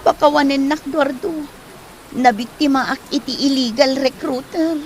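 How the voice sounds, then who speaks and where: A woman's voice: high voice, normal speed, close to an everyday voice. A woman acting an ashamed, humbled wife who explains herself apologetically in an Ilocano comedy drama, with an Ilocano accent. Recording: faint background noise.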